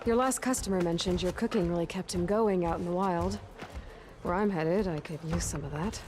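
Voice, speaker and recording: An actress playing a calm, plain-spoken young huntress in video game dialogue. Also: female voice, on the low side, a little fast, close to an everyday voice. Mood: calm, measured.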